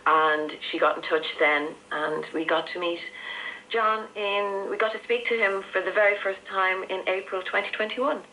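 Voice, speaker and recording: A woman's voice: medium pitch, fairly even intonation, moderate pace, pushed and bright. A middle-aged woman recounting her personal story as a radio interview guest, heard over shortwave. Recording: noisy, crackly shortwave radio reception.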